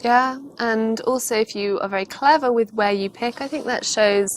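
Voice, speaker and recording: A woman's voice: fairly high pitch, normal speed. A woman co-presenting, casually discussing dating tactics, with slight ambient noise behind her.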